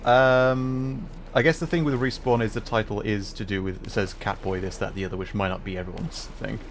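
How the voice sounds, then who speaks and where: A man's voice: lowish voice, steady tone, brisk, a little hiss or hum. A man livestreaming a game with casual, enthusiastic commentary, musing and explaining as he goes.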